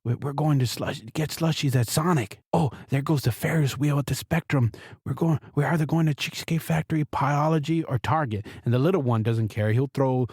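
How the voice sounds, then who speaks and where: A man's voice: fairly high pitch, fairly quick, a little mellow, husky, no room sound, studio quality. A man hosting a podcast, telling family anecdotes in a casual, comedic monologue and acting out bits of what people say. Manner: chatty anecdotal storytelling, acts out short quotes. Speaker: podcast host.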